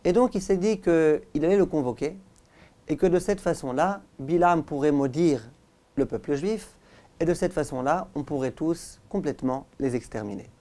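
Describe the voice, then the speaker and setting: A male rabbi giving a religious lesson as a story, with emphatic, deliberate phrasing. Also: a little high, dark tone. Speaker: rabbi, teacher.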